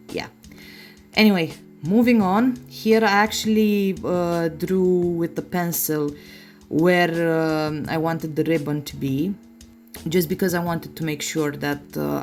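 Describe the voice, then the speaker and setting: A woman's voice: sits low, a little mellow. A chatty, self-deprecating woman with a non-native English accent, giving a casual nail art tutorial in voice-over. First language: not English.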